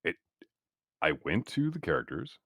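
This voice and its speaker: A man's voice: sits low, sing-song, moderate pace, gravelly, a bit bright, no room sound, clean studio sound. A man chatting casually as a player in a tabletop game, with a blunt, wry, sweary manner.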